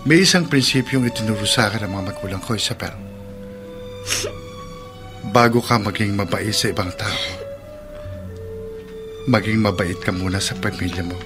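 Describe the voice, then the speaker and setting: An actor playing a principled husband in a radio drama, with a measured, earnest, moralizing way of speaking. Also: male voice, on the low side, music in the background, natural voice. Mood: earnest reproach. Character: principled husband, earnestly counselling his wife. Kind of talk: sentimental radio drama acting.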